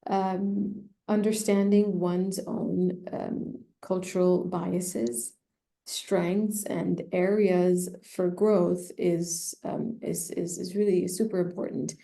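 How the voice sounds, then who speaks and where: A woman who specializes in personal branding, giving measured, thoughtful advice in an interview with frequent fillers. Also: fairly low pitch, little pitch movement, gravelly, warm tone. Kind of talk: conversational expert advice.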